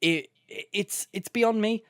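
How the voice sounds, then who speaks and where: A man co-hosting a podcast, relaxed and easygoing, often chuckling as he drifts into nostalgic tangents. His voice is moderately raised in pitch and sounds dry and close to the mic. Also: measured pace, smooth, dry recording. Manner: laid-back, chuckling, nostalgic rambling. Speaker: podcast co-host. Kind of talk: casual podcast chat.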